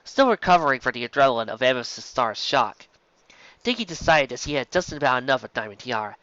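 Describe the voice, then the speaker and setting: Animated story narrator, slightly dull recording. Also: medium pitch, fast talker. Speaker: audiobook reader of fan fiction. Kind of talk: scripted narration, reading a story aloud.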